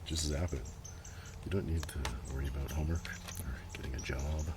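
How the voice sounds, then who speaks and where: Man, very deep voice, hushed casual ASMR commentary, occasional whispering, heavy outdoor background noise. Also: steady tone, brisk. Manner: hushed, sometimes dropping into a whisper. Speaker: ASMR video creator. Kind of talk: soft-spoken ASMR show-and-tell, rambling casual commentary. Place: outdoors in a gentle breeze. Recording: clearly noisy outdoor ambience. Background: outdoor ambient noise, gentle breeze.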